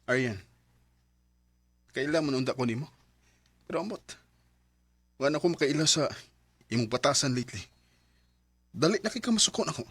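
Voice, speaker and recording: An earnest young Cebuano Filipino husband in a radio drama. He is devoted and sincere, with a mid-pitched male voice. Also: animated, moderate pace, pauses often, a bit bright, husky, dry recording. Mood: hurt, upset.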